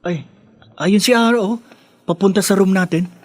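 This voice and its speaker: A woman with a Filipino accent playing a kind, curious high-school classmate in a radio drama. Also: normal speed, no echo, soft background music, lightly acted. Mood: curious, sympathetic.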